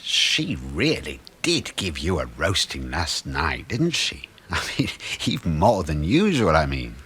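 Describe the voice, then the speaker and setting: An actor in a radio play voicing a cheeky, breezy middle-aged British suburban husband who teases and muses like a know-all. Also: male voice, rumbling low, huge pitch swings, measured pace, sharp tone, breathy edge, heavily acted. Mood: teasing, needling. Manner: breezy, teasing, musing.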